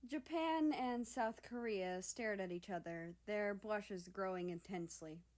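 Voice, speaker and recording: Casting: female story narrator, unhurried pace, mid-range pitch. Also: darkish tone. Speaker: story reader. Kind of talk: scripted fan fiction narration.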